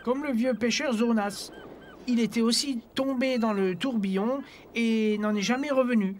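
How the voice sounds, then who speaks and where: A performer voicing a sensible, careful young adventurer in a cartoon, earnest and measured. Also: mid-range voice, slight background noise, close to an everyday voice. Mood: explaining. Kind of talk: cartoon dub in French. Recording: prominent music behind the voice.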